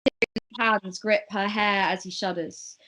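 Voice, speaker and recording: A woman's voice: medium pitch, steady tone, unhurried, pushed and bright, slight background noise, low-quality recording. A woman narrating a table read, reading stage directions aloud and at times stumbling over words.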